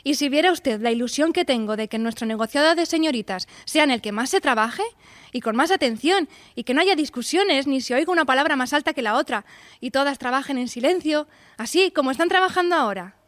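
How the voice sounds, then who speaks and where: An actress plays an earnest young woman in a radio drama. Her voice is clearly high, and she speaks with determination in a theatrical style. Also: animated, brisk. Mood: earnest, resolute.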